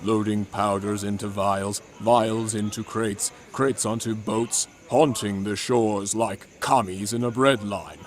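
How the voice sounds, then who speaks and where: A man playing a grave narrator of a boys' mystery adventure, theatrical, mock-serious and deliberate in his dramatic reading. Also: lowish voice, fairly even intonation. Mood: ominous, grandiose.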